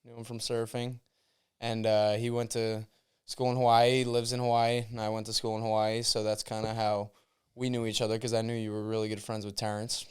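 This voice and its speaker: Very smooth-voiced laid-back young man. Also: lowish voice, monotone, a little fast. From New Jersey shore. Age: 20s. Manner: laid-back, modest, easygoing. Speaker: podcast guest. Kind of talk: casual podcast chat. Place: small podcast studio.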